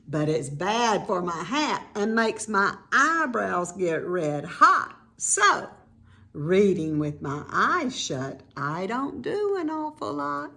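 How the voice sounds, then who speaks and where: A woman reading a children's picture book aloud in an expressive, teacherly way, with informal explanatory asides to young listeners. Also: medium pitch, slow pace, gravelly, noisy background.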